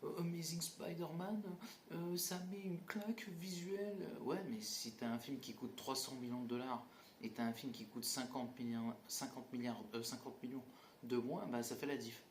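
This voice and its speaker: A man's voice: medium pitch, fairly even intonation, moderate pace. A man giving a casual film critique, blunt and mocking, with lots of fillers and restarts. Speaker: movie reviewer. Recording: roomy echo, faint ambient noise.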